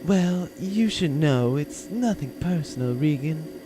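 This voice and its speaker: A woman playing a feisty but soft-hearted bounty hunter's sidekick, speaking in a folksy way in a comedy audio drama. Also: low voice, expressive, a little slow, over music, low-quality recording. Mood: gentle, sympathetic. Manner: folksy, uses ain't and y'all.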